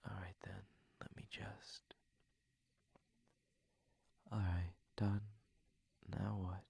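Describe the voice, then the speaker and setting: Man playing a low, breathy, whispering shy prince. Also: level tone, slow pace, full character voice. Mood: hesitant, nervous. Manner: gentle, polite, hesitant, formal phrasing. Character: courteous, shy, flustered young prince at a masquerade. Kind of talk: intimate ASMR roleplay, addressing the listener.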